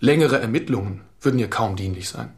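A man playing a smooth, patronising psychotherapist in a crime radio drama, with a measured, formal and insistent manner. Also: fairly low pitch, lightly acted. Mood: reproachful. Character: smooth, patronising male psychotherapist, defensive and flirtatious. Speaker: supporting actor.

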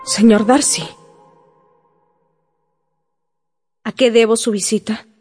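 An actress playing a spirited, guarded young gentlewoman in a radio drama, polite but pointed and direct. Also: a little high, fairly even intonation, normal speed, soft background music, lightly acted. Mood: cool, impatient.

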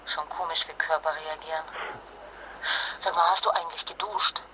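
A woman's voice: low-pitched, fairly even intonation, moderate pace, pushed and bright, slight background noise, rough recording. An actress playing a determined, insistent wife and mother in a naturalistic radio drama, blunt and urgent. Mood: concerned, insistent. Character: determined, jealous wife and mother fleeing nuclear fallout.

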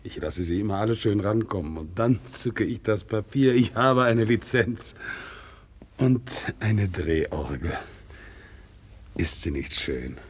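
An actor playing a proud, dutiful one-legged war veteran who works as an organ grinder, speaking in a solemn, deferential way in a radio play. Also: male voice, fairly low pitch, expressive, slow pace, breathy edge, full character voice. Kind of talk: 1960s radio play acting. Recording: faint music behind, older radio play recording.

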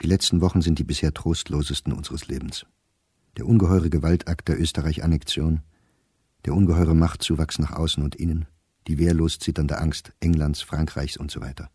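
An actor playing an educated middle-aged diarist, bitter and reflective, reading his diary in a measured, sardonic way for a radio play. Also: extremely low pitch, steady tone, warm tone, husky, dry recording. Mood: bleak.

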